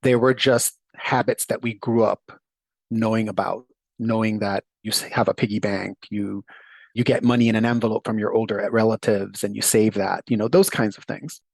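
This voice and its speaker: A man's voice: fairly low pitch, fairly even intonation, moderate pace, vocal fry, no room sound, poor audio. A middle-aged man from Jamaica, telling his story as an interview guest in a thoughtful way that laughs easily. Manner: thoughtful, warm, laughs easily, occasional restarts.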